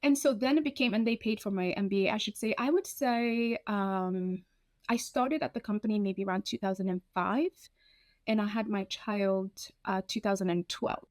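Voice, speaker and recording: A woman in her thirties from Ethiopia, telling her own story in an interview, candid and animated, often acting out what people said. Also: medium pitch, moderate pace, no echo, clean studio sound. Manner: frank, animated, acts out quoted dialogue. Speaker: guest.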